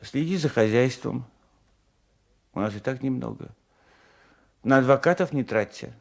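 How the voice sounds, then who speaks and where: An actor playing a pious, wronged old peasant husband in a radio drama, mournful and heavy, with a husky, creaky voice. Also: male voice, medium pitch, unhurried. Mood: mournful, resigned. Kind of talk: 1980s radio drama acting.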